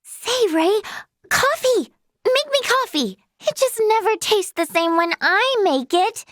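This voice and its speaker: Super high girly video game healer, young, earnest and bubbly, dry close sound. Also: unhurried, no room sound. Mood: playful, pleading. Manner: girlish, expressive, earnest.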